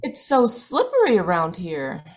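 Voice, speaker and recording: A woman playing a gentle, anxious older wife, hesitant and pleading, in old-time radio drama style. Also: lowish voice, wildly swooping pitch, measured pace, exaggerated voice. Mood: dreamy, mild. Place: live video call performance. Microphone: video call microphone.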